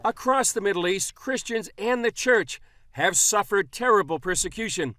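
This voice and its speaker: A man narrating a television news report in a measured, even way. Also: high voice. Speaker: news correspondent.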